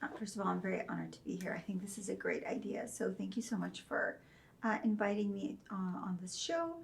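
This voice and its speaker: A middle-aged woman from Saudi Arabia, a religion professor speaking as an interview guest, telling her story in a measured, thoughtful way with soft fillers. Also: mid-range voice, gravelly.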